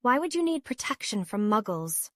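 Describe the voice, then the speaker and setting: A woman's voice: fairly high pitch, very animated, normal speed, fairly bright tone, no echo, studio quality. A woman playing a curious, polite and earnest young witch girl in a comic dub. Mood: concerned.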